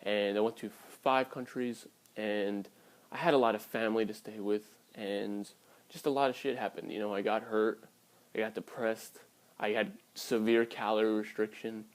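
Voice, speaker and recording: A man's voice: fairly low pitch, steady tone, moderate pace. A man in his early twenties talking casually and candidly to his viewers in a blunt, sweary vlog style. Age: early 20s. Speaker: vlogger.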